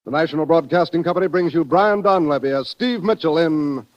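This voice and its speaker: A man's voice: fairly high pitch, a little fast, dull audio. A man with an American accent announcing and narrating a scripted 1940s radio drama. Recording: 1940s radio recording, loud music behind.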